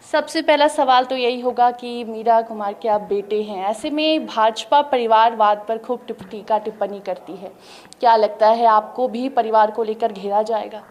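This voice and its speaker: A woman's voice: a little high, moderate pace, darkish tone. A woman news interviewer who introduces the guest and asks direct, formal questions.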